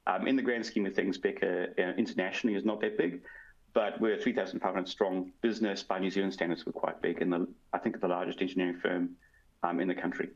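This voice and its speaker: A man in his forties with a South African accent and a very low, deep voice speaks over a headset on a video call. He answers interview questions at length, fluent but filling pauses with ums and uhs. The call audio sounds a little dull and muffled. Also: fairly even intonation, gravelly. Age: 40s. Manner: fluent, with frequent ums and uhs, gesturing with hands. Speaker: guest, product strategy manager. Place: home room.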